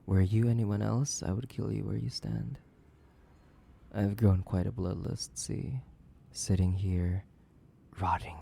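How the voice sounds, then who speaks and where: A man playing a sadistic, possessive, centuries-old vampire in a horror roleplay, theatrical and menacing, with a creaky voice that lapses into breathy whispers. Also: on the low side, steady tone, slow pace, warm tone, slight background noise, exaggerated voice. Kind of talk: dramatic horror roleplay audio, addressing the listener.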